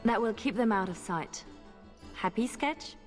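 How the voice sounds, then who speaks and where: A woman voicing a poised, commanding spymaster in a video game, smooth and deliberate as she gives instructions. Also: on the high side, animated, faint music behind. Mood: cool, directing. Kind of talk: video game character acting.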